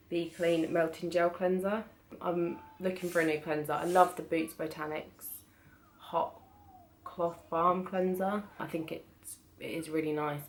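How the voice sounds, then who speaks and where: A British woman with a croaky voice from being ill, chatting casually through a beauty haul with lots of hesitant restarts. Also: low-pitched, a little hiss or hum. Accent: British English. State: croaky from illness. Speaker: beauty vlogger. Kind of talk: casual product haul chat.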